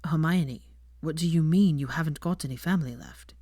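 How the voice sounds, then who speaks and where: A young wizard man acted by a woman narrator speaks in a low drawl. He is blunt and probing, concerned yet faintly peeved. The storybook dialogue sounds dry and close. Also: brisk, warm tone, no echo. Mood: alarmed, insistent.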